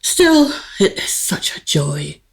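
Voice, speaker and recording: A woman's voice: fairly low pitch, big pitch swings, takes it slowly, exaggerated voice. A woman playing an aristocratic, lovelorn queen, grand yet girlish, with stately, formal theatrical diction in a comic radio play. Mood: wistful.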